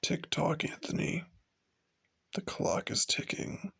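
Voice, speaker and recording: A man voicing a sinister, sadistic killer taunting a captive in a slow, menacing, gravelly horror monologue. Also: on the high side, steady tone, fairly bright tone, exaggerated voice. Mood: whispered menace. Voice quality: gravelly, husky, dropping into whispers.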